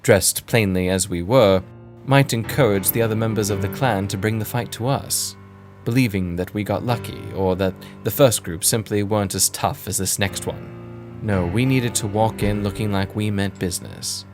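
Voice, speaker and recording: Low-voiced man as a formal storytelling gentleman adventurer. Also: music in the background, lightly acted. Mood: measured, grave. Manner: formal, literary, measured phrasing. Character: male first-person narrator, genteel adventurer recounting a kidnapping case. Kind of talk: scripted period-story narration.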